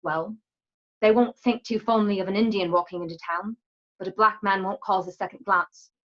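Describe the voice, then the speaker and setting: An actress as a solemn, loving and resolute sister in a Western radio drama. Her phrasing is formal and measured, mid-pitched, on a dull home microphone. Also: steady tone, lightly acted. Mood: firm, unapologetic. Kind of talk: online radio play acting.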